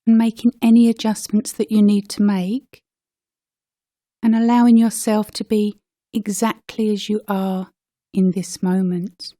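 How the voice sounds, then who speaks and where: A woman leading a guided meditation as a teacher, speaking gently and unhurriedly with pauses between short phrases. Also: medium pitch, level tone, no echo, studio quality.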